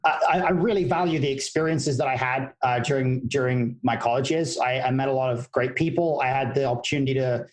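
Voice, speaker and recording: A man's voice: medium pitch, brisk, hardly any pauses. Easygoing British man in his thirties. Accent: British, partly Americanized. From United Kingdom. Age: 30s. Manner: easygoing and thoughtful, with many restarts. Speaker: guest, poker player and coach. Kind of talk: casual podcast interview.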